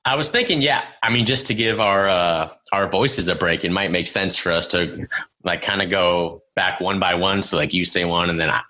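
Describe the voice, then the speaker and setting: A man's voice: low voice, normal speed, pushed and bright. A man co-hosting a podcast, chatting casually and joking with his friend, swearing now and then. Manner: joking, swears, self-deprecating. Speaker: podcast co-host. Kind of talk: casual banter.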